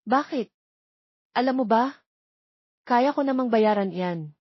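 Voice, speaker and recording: A woman's voice: fairly high pitch, expressive, slow pace, breathy edge, dry recording, lo-fi sound, pitched above the performer's own voice, heavily acted. Feisty, stubborn young woman acted by a female narrator. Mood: tipsy, defiant. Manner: indignant, stubborn, code-switching into English. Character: feisty, tipsy, defiant young single woman.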